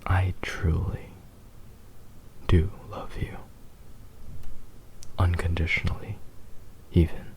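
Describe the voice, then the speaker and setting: A man playing a possessive, devoted young fox spirit husband in a whispered romantic ASMR roleplay, soft, tender and hesitant. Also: sits low, monotone, takes it slowly, creaky, pauses often, heavily acted. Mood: tender, adoring.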